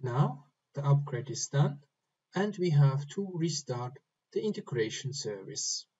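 A man giving step-by-step instructions for a software screen recording, speaking in short, halting phrases. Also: mid-range voice, sing-song, husky, highs cut off. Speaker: software tutorial presenter. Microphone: voice-over recorded over screen capture.

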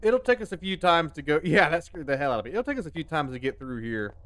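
Joking streamer with a twang. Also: male voice, a little high, very animated, fast, fairly bright tone. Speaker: gaming streamer. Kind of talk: casual live commentary while playing. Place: home desk indoors. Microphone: headset mic.

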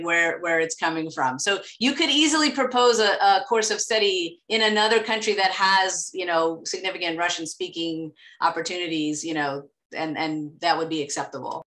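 A woman's voice: lowish voice, a bit bright. A woman explaining programme details as an adviser in an online information session. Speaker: scholarship adviser. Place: indoors on a video call.

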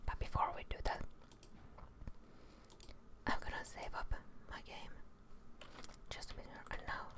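A young woman whispering breathily and musing aloud to viewers as she streams a game in ASMR style, close to the microphone. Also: a little slow. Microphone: close studio microphone on desk.

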